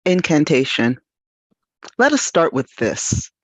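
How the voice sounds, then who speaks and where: A woman reading poetry with deliberate, incantatory phrasing, on a slightly dull recording. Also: low voice, big pitch swings, dry recording. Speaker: reader at a literary event.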